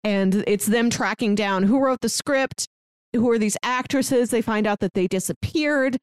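A woman co-hosting a podcast in casual chat, retelling stories in an animated, acted-out way. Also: on the high side, measured pace, clean tone, no echo. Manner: animated storyteller who acts out quoted dialogue. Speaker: podcast co-host.

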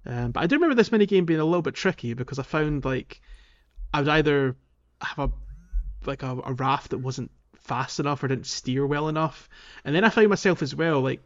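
A man's voice: on the high side, animated, a little fast, highs cut off. A man co-hosting a gaming podcast, talking in a loose, conversational way. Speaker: podcast co-host. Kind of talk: casual gaming podcast chat. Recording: music behind the voice. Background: instrumental music.

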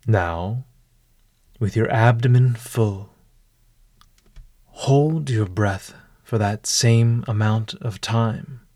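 A man narrating a guided meditation in a formal, measured, literary manner. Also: on the low side, mellow, dry recording.

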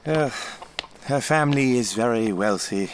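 A man's voice: mid-range voice, expressive, a little slow, slight background noise, close to an everyday voice. An actor playing a proud, controlling husband and father in an audio drama. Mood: troubled.

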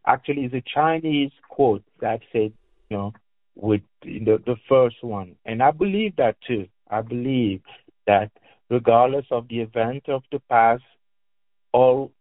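A man from Cameroon with a Cameroonian accent, a guest talking and reading aloud in deliberate, halting phrases. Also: mid-range voice. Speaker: guest reader, educator and engineer. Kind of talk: phone interview with reading aloud from a book. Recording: echoey, tinny phone-like line.

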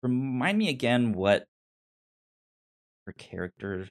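Male podcast host, casual reviewer. Slow, halting delivery with frequent stammered repeats. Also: medium pitch, very animated.

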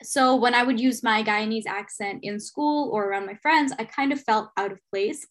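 A woman's voice: fairly high pitch, normal speed. A young woman, an education student, leading the podcast discussion in an encouraging way. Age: young adult.

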